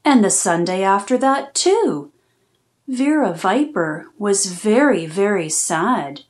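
A woman reads a children's storybook aloud at an unhurried pace. Her pitch moves widely and expressively, and she phrases each line deliberately for small listeners. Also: expressive. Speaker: children's read-aloud host.

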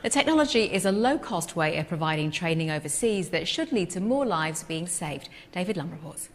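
A woman presenting a broadcast news item in a crisp, scripted way. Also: fairly low pitch, expressive, a little fast, slight background noise. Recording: news clip played in a hall.

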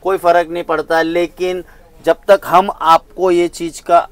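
Man, fairly high voice, emphatic salesman, persuasive, walking through a big indoor showroom. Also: flat delivery, a little slow, no room sound. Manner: emphatic, persuasive, gestures while talking. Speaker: saree wholesaler. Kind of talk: sales pitch in a shop interview. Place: large indoor saree showroom.

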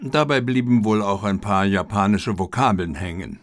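A man's voice: on the low side, moderate pace, lightly acted. A man voicing an unruffled, self-assured detective in an audiobook, explaining things with playful confidence. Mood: breezy, unconcerned. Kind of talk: audiobook character dialogue.

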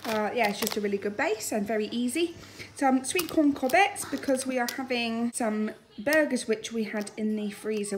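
A woman's voice: medium pitch, slight background noise. A woman in her thirties with a British accent, chatting informally as she narrates a casual home vlog. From United Kingdom. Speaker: family vlogger. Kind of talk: casual grocery haul narration. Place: home dining room, indoors.

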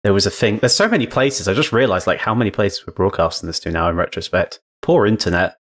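A man's voice: fairly low pitch, expressive, quick pace, a bit bright. A man hosting an online live panel in casual, rambling chat. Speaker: panel host. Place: online voice call.

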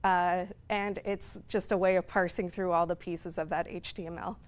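A woman's voice: on the low side, steady tone, normal speed. A woman in her thirties giving a technical talk in a lecture room, explaining at length with frequent fillers. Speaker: conference speaker. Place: lecture room with projector screen.

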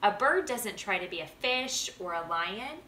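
A woman's voice: mid-range voice, sing-song, measured pace, pushed and bright, distant mic. A woman reading the part of a kindly, wise storybook grandfather, patient, encouraging and emphatic. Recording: roomy home recording with background noise.

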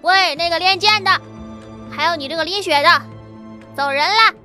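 A woman voicing a brisk, blunt young man in an audio drama. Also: very high-pitched, bright, forceful tone, soft background music. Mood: rousing.

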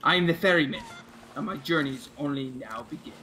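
A man's voice: a little high, a little fast, sharp tone, soft background music. A man chatting casually as a crewmate in a multiplayer game, with some playful role-play. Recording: game sounds and noise behind voice. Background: video game sounds.

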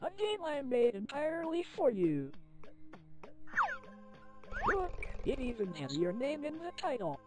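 Game character: cheerful male axolotl assistant, sing-song and slow, music under. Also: high voice, huge pitch swings, exaggerated voice. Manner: eager, fawning, sing-song synthetic delivery. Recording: computer game audio with music behind.

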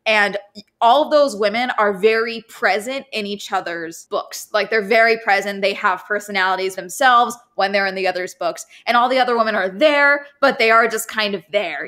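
A young woman with a bright, forward voice at medium pitch talks books casually as a podcast guest. She is on a video call from home and drops wry, sarcastic asides. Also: normal speed. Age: young adult. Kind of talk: casual book discussion. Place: living room at home. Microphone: video call, seated at computer.

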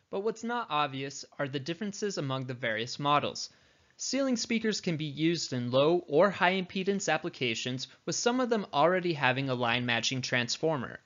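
A man's voice: medium pitch, pushed and bright, highs cut off. A man reading scripted e-learning narration as an instructor, explaining things in a conversational way with rhetorical questions. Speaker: instructor narrating a product training video.

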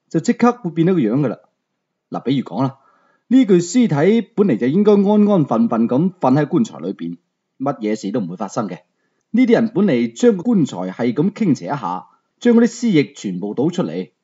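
A man's voice: mid-range voice, warm tone, slightly rough, lo-fi sound. A man with a Hong Kong Cantonese accent narrating a story dramatically in the first person, in a conversational, colloquial way. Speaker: audiobook storyteller.

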